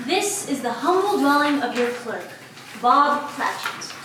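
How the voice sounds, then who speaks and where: A woman's voice: high voice, lively intonation, unhurried. A woman playing a sardonic Christmas spirit in an amateur dramatic reading, grand but given to flippant asides. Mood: solemn. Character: sardonic female Christmas spirit guiding a miser. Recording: very echoey room, faint noise.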